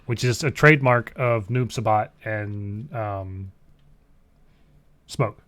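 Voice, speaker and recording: A man's voice: fairly low pitch, takes it slowly, a bit bright, no echo. A man co-hosting a gaming show, talking informally and loosely as he chats about old trailers. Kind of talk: casual chat, reacting to old film trailers.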